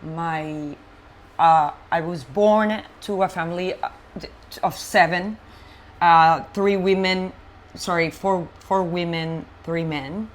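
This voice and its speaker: Colombian woman, native Spanish speaker, frank and animated guest, low-pitched voice, faint hiss. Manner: animated, halting, many fillers and restarts, frank. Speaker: interview guest. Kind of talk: personal storytelling in conversation.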